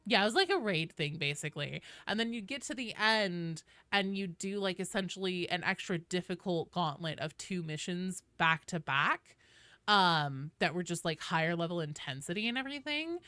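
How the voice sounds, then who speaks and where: A woman's voice: fairly low pitch, lively intonation, moderate pace, sharp tone, clear, resonant tone, dry recording. A woman hosting a casual game livestream, chatting informally and explaining things at length as she talks directly to viewers. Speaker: video game streamer, host.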